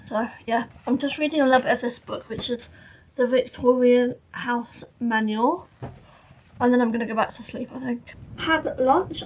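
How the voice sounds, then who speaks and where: Hoarse young woman, vlogging from afar. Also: on the high side, recorded from a distance, slight background noise, poor audio. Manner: chatty, rambling, tired. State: croaky, sore-throated voice. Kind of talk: casual diary vlog.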